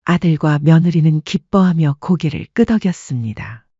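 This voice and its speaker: A woman narrating a scripted story with a soft, husky voice. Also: low-pitched, expressive, moderate pace, no echo, muffled recording.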